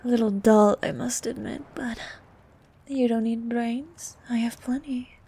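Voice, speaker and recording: A woman playing a bossy, haughty ancient sea queen monster in an ASMR roleplay, with a soft, partly whispered voice and regal, condescending manner. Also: a little high, fairly even intonation, creaky, slight background noise. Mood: haughty, flirtatious. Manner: regal, condescending, formal, unhurried.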